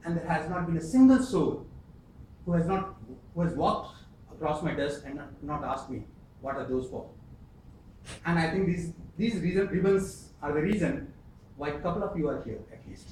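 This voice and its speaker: A man giving an earnest, emphatic prepared speech at a speaking club, pausing often. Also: on the high side, darkish tone. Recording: echoey room, distant mic, faint hiss.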